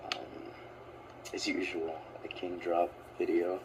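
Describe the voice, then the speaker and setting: A man's voice: low-pitched, monotone, slow, a little hiss or hum, poor audio. A man with a sick-sounding voice talks casually and hesitantly, filling gaps with "um" and "like". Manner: hesitant, lots of "um" and "like". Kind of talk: casual video commentary.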